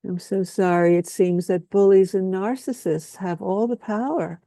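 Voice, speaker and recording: A woman speaking a slow, solemn spoken-word lament, with repetitive phrasing and long pauses. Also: medium pitch, warm tone, no echo, clean studio sound.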